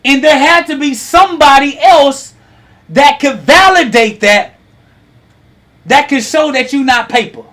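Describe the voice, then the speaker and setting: A man in his thirties hosting a lively talk, teasing and quizzing his online audience. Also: very high-pitched, unhurried. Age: 30s. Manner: animated, teasing, asks the audience questions. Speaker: host.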